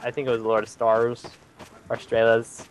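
A young man chatting excitably while playing a game with friends. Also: medium pitch, normal speed. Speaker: gamer. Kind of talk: casual gaming chat. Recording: noticeable background noise.

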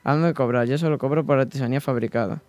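A man's voice: medium pitch, racing, darkish tone, soft background music, natural voice. A teenage boy from Spain playing a humble, weary craftsman of the 1790s, reading a first-person letter aloud in a small school radio studio. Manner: plain reading-aloud delivery with small slips. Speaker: student reader on school radio. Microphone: desk microphone, headphones.

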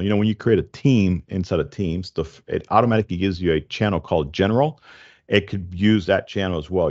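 A man's voice: low-pitched, a little mellow, highs cut off. A middle-aged man hosting a casual tech podcast, explaining things conversationally into a close desk microphone. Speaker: podcast host. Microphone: close desk microphone with headphones.

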